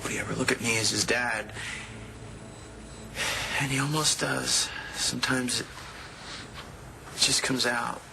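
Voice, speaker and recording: A man's voice: medium pitch, steady tone, a little slow, vocal fry, pauses often, noisy background. An actor playing an anguished, helpless father in a television drama, with a wistful, pained delivery.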